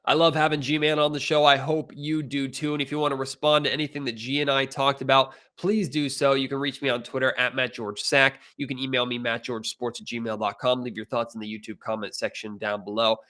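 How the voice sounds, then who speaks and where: A young adult man with an American accent speaks as a podcast host. His delivery is conversational, talking sports directly to his guest and listeners, with a medium-pitched voice. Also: little pitch movement, brisk, few pauses, bright, forceful tone.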